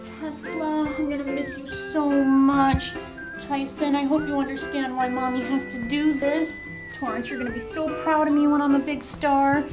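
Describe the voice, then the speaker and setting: A woman's voice: sits high, little pitch movement, normal speed, lightly acted, rough recording. A woman playing a melodramatic, cooing, self-absorbed mother in sketch comedy. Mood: syrupy farewell. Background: music.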